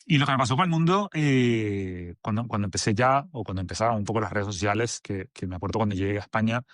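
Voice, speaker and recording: A man's voice: lowish voice, fast talker, no room sound, studio quality. A man in his forties from Venezuela with a Venezuelan accent, a guest speaking casually with lots of fillers and restarts. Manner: rambling, many fillers and restarts. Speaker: agency director, guest. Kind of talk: casual conversational interview.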